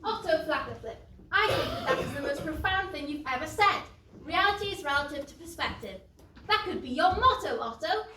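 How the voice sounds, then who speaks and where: An earnest young pantomime heroine acted by a woman speaks in a high, theatrical voice. She is picked up from a distance in an echoey theatre, with faint noise. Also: expressive, a little fast, pushed and bright. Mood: admiring. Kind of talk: stage pantomime acting.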